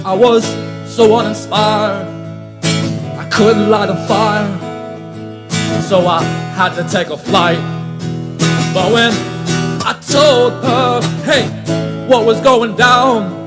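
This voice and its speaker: Open mic singer, male, unhurried drawl, stage mic in a small coffee shop. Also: sits high. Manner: drawling casual singing with guitar. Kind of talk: singing an original song.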